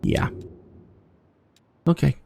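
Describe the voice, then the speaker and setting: A man's voice: extremely slow, a little mellow. A man giving casual playthrough commentary as a gaming host, thinking aloud and swearing casually as he goes.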